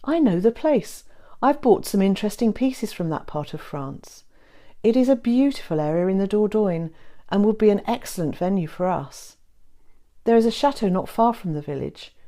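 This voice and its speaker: Woman reading as a courteous, devoted gentleman suitor, mellow. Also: mid-range voice, lively intonation, normal speed, lightly acted. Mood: tender, assured. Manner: formal, gentlemanly phrasing. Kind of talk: romance audiobook character reading.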